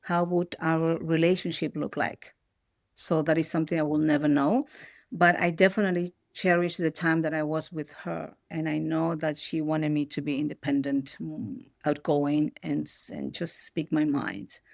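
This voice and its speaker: A woman's voice: deep voice, little pitch movement, moderate pace, gravelly, no room sound, studio quality. A middle-aged woman from Peru, raised in the Netherlands, speaking as an as a podcast guest, reflective and often restarting her sentences. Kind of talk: reflective personal interview.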